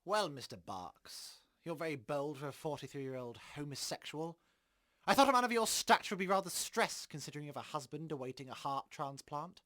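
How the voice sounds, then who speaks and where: Man playing a smugly menacing official, lively pitch. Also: a little fast. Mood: smug menace. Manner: formal, condescending, veiled threats. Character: menacing, smugly polite government enforcer interrogating people. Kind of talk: audio drama acting.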